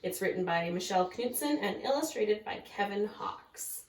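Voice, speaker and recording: A woman's voice: mid-range voice, lively intonation, moderate pace. A woman kindergarten teacher talking to young children and reading a storybook aloud. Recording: echoey room, far from mic.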